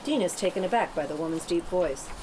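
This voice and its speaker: A woman's voice: on the low side, lively intonation, fast. A woman reading scripted stage directions as narrator at a table read, in a noisy room.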